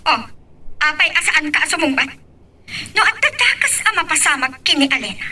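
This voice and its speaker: A woman's voice: high-pitched, very animated, normal speed, sharp tone, distant mic, heavily acted. Woman acting piercing, bitter young Ilocano woman. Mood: accusing, bitter. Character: bitter, lovesick young woman, scorned in love. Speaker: voice actor in a drama.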